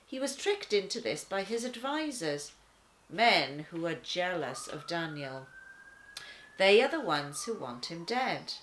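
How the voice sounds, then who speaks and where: A woman voicing a calm, patient, courteous guardian angel who explains things in a children's storybook. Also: lowish voice, slight background noise, low-quality recording. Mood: calm, solemn. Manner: measured explaining, reassuring.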